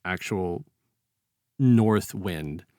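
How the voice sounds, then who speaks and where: A man hosting a casual, reflective discussion of literature, speaking in a halting, conversational way and animatedly acting out quotes as he retells. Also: sits low, warm tone, dry recording, studio quality. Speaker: podcast host.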